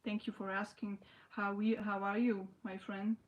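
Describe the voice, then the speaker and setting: A young woman talks casually to her livestream viewers. She speaks haltingly, starting sentences over and leaning on "you know". Her pitch sits in an ordinary middle range. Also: little pitch movement, low-quality recording. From Slovakia. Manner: halting, restarts often, frequent "you know". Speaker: livestream host.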